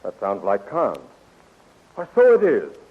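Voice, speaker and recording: A man's voice: lowish voice, wildly swooping pitch, measured pace, breathy edge, full character voice. An actor playing a commanding young heroic king in a 1930s radio drama, decisive in manner. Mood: friendly, assured. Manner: decisive, declarative. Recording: muffled old radio recording, faint hiss.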